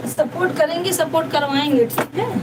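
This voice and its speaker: A woman with a high-pitched voice hosts a casual live chat. She talks to viewers as sisters and often repeats herself. She sounds some way from the microphone, with clear background noise around her. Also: brisk. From Deoria district, India. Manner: repetitive, chatty, addresses viewers as sister. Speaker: live stream host. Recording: distant mic, noisy background.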